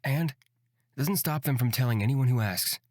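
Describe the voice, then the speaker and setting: A man's voice: medium pitch, moderate pace, a little mellow, no room sound, studio quality, close to an everyday voice. A man playing an aloof, irritable young man who scolds curtly in a fantasy visual novel. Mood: exasperated. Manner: curt, exasperated.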